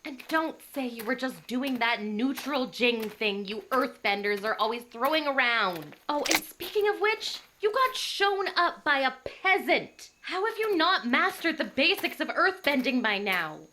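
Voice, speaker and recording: A woman playing a bossy, hot-tempered young bodyguard in a visual novel, with a sharp, scolding manner. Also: high voice, expressive, unhurried, few pauses, slight background noise, heavily acted. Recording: music behind the voice.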